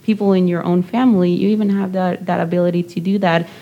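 A woman's voice: fairly low pitch, fairly even intonation, fast talker, dull audio. A woman from Nicaragua hosting a panel talk, speaking thoughtfully and a bit ramblingly.

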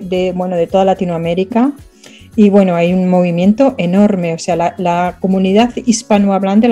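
A woman who is a guest on a radio interview, explaining in a lively way with frequent fillers and restarts. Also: medium pitch. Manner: animated, halting with fillers. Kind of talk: conversational interview talk. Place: radio studio.

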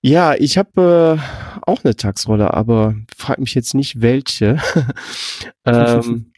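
A man's voice: mid-range voice, slow pace, darkish tone, no room sound. A man hosting a podcast, chatting casually, reading out listener questions and laughing often. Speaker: podcast host.

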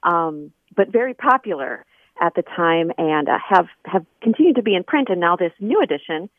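A woman's voice: on the low side, animated, moderate pace, no room sound. A woman guest explaining her scholarly work informally and conversationally in an interview over a remote call. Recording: thin phone-like line.

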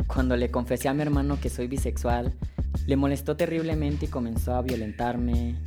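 A man, calmly reading his own migration testimony. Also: mid-range voice, a little fast. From Honduras. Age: 20s. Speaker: featured migrant telling his story. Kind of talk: read personal testimony. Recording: music bed under the voice.

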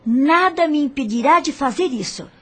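An actress with a Brazilian accent playing a grateful, resolute housewife in a radio soap, speaking in an earnest, heartfelt way. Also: female voice, high voice, lively intonation, normal speed. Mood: determined. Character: grateful, resolute stepmother, a worried younger housewife. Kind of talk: radio soap opera acting.

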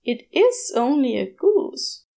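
A woman's voice: high voice, sing-song, slow, vocal fry, warm tone, heavily acted. A woman voicing a polite, unruffled and fond man with a genteel, mild way of talking. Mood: mildly puzzled. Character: polite, unruffled, fond male partner of a demon.

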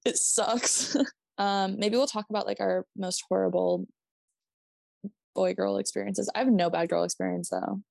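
A woman with a light Minnesotan accent chats loosely on her podcast, circling her point with lots of "like" and quick laughs. Her voice sits at a middle pitch and is recorded dry and close, with no room sound. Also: steady tone, gravelly, a little mellow, no echo. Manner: rambling, self-interrupting, laughing, swearing. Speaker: podcast co-host. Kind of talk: casual chat.

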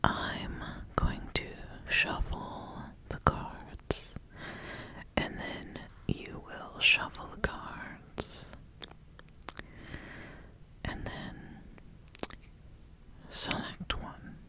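A woman as a slow, whispering, ambitious witch. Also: poor audio. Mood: patient, guiding. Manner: slow, deliberate, hushed, with lingering pauses and repeated phrases. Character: ambitious witch, intrigued, sizing up a would-be apprentice. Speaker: ASMR host playing a roleplay character. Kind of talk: whispered ASMR fantasy roleplay.

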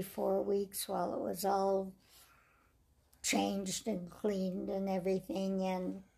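An older woman with a raspy voice, telling her life story slowly and reflectively in an interview. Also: mid-range voice. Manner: slow, measured storytelling with soft trailing phrases.

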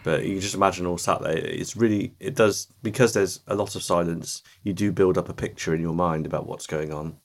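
A podcast co-host with a low voice who talks fast and reacts quickly in casual chat. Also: male voice, fairly even intonation.

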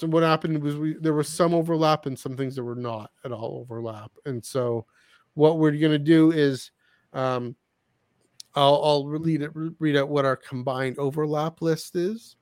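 Halting man chatting casually, dry close sound. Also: fairly high pitch, no echo. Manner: halting, rambling, repeats words. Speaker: podcast host. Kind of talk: casual chat, discussing books and comics.

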